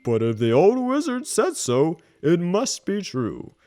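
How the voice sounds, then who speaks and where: Casting: kindly, gullible storybook knight, played by a man; hearty and proper, raised pitch, very animated. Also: unhurried, no echo, soft background music, heavily acted. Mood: puzzled, hearty. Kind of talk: children's storybook character voice.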